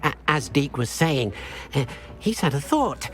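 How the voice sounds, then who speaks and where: Timid, lilting house-elf servant. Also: male voice, fairly high pitch, very animated, a little slow, fairly bright tone. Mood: hesitant, earnest. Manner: hesitant, speaks of himself in third person. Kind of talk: video game character dialogue. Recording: music behind the voice.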